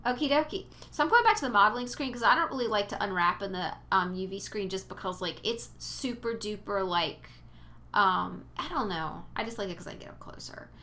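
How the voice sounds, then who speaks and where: A woman's voice: mid-range voice, expressive, a little fast, sharp tone. A woman teaching 3D modeling in a casual screen-recorded tutorial, chatty and rambling with playful exclamations, a bit sniffly. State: sniffly from allergies.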